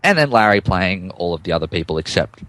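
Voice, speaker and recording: Male podcast narrator, lively swings in pitch, mock-dramatic, stumbling comic read, dry close sound. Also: fast talker, no echo, poor audio. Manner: mock-dramatic, often stumbles over words. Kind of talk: comic dramatic reading and casual banter.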